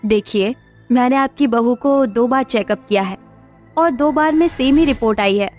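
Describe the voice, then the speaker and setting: A woman's voice: a little high, normal speed, a little mellow, faint music behind, close to an everyday voice. Woman playing a matter-of-fact doctor. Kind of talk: Hindi cartoon story dialogue.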